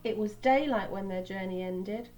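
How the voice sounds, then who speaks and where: A woman reading a picture book aloud to children in a teacherly way, pausing for asides about the pictures. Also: mid-range voice, darkish tone. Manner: teacherly, pointing out pictures and repeating words.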